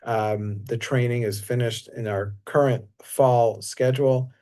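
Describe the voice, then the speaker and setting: A mellow, warm-voiced man talks through his massage training in a relaxed, casual way. His pitch sits in the middle, and his delivery is unhurried and easygoing, with frequent small fillers. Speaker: massage teacher. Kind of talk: casual talk.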